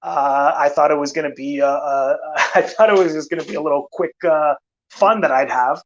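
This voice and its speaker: A man's voice: a little high, normal speed, muffled recording. A man hosting a live film channel stream, chatting casually with many fillers and restarts, tired and losing his voice.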